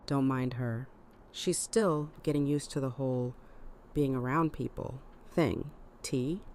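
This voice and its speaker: A woman's voice: rumbling low, slow pace, darkish tone. Actress as tough, tired middle-aged woman, clipped and guarded, audio drama; noisy recording. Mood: casual, wry. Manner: plain-spoken, clipped.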